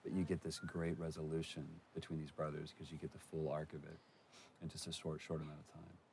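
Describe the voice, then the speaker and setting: A man with a very deep, gravelly voice talks through scenes in casual commentary. There is some light noise behind him from the game audio. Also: flat delivery, fairly quick, darkish tone. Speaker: director commentator on a game's cut scenes. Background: game cut-scene sound.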